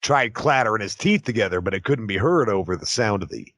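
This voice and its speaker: A man co-hosting a podcast, riffing casually with a wordy, mock-grand deadpan humor. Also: on the low side, fairly quick. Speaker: podcast co-host.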